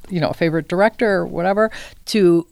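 A woman's voice: lowish voice, quick pace, a little mellow, smooth, no room sound. An older woman speaking as an interview guest, informal and earnest, thinking aloud in short conversational phrases. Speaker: film center executive director, interview guest.

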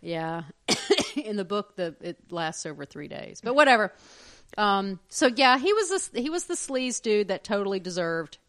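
A woman's voice: mid-range voice, sing-song, moderate pace, a bit bright. A woman co-hosting a casual podcast chat, getting over losing her voice and often restarting her sentences. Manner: chatty, trails off and restarts often. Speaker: podcast co-host.

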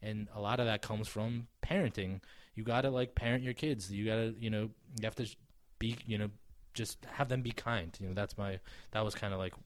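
A man's voice: fairly low pitch, fairly even intonation, brisk, no echo. A man in his thirties chatting casually as a podcast co-host, rambling with many restarts and fillers and swearing freely. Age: 30s. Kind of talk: casual chat.

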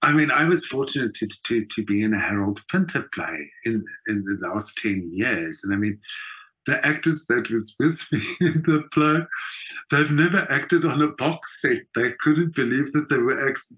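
A middle-aged South African man with a husky voice, talking reflectively in an interview, emphatic, with halting repeats and pauses. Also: medium pitch, animated, bright, forceful tone, low-quality recording. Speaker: actor and singer being interviewed.

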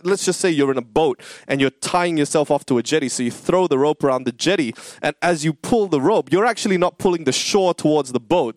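A man's voice: fairly high pitch, no echo. Earnest man preaching a story-driven sermon. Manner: storytelling, animated and earnest with conversational fillers. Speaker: pastor.

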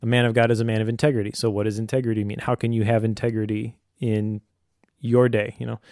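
A man's voice: on the low side, flat delivery, fast, a little mellow, no echo. Casual man explaining things, dry close sound. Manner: conversational, explanatory. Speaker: co-host, homeschooling father. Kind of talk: casual advice chat.

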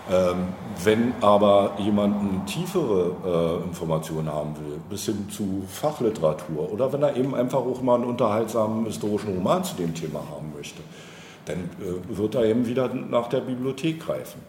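Low-voiced, halting man in a roomy recording. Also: lively intonation, darkish tone. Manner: hesitant, deliberate, halting phrasing. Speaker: political candidate being interviewed. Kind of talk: reflective interview answers on policy. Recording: roomy, noticeable background noise.

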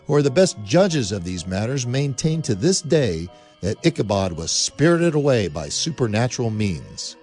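A male narrator telling a radio play with lively, swinging pitch and theatrical flair. Music plays clearly beneath him. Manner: theatrical, building tension.

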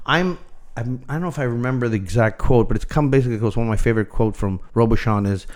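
A man's voice: mid-range voice, fast talker, darkish tone. A middle-aged man, a chef talking casually and candidly as an interview guest, musing and opinionated. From Canada. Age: middle-aged or older. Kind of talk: casual interview chat, anecdotal.

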